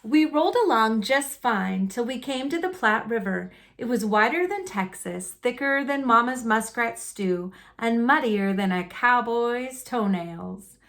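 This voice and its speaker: A woman reading a children's picture book aloud in an animated, folksy storytelling manner. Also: on the high side, unhurried, few pauses. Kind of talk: expressive read-aloud narration of a children's book.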